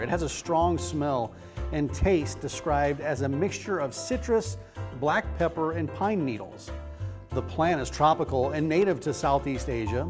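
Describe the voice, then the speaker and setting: A man's voice: on the high side, darkish tone. A man hosting a cooking show, moving from scripted narration into a chatty, upbeat interview with guests. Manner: chatty, upbeat, rambling restarts.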